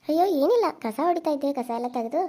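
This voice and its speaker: A woman's voice: high voice, lively intonation, quick pace, mellow. A woman voicing a frightened young daughter-in-law who speaks in a tearful, pleading North Karnataka way. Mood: gentle. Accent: North Karnataka Kannada.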